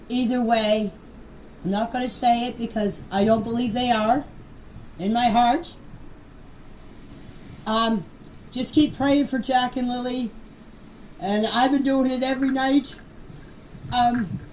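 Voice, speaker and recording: A woman's voice: a little high, recorded from a distance, slight background noise, poor audio. An older woman speaking informally in voice messages, plain-spoken, earnest and emphatic. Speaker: grandmother of missing children. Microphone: phone voice message.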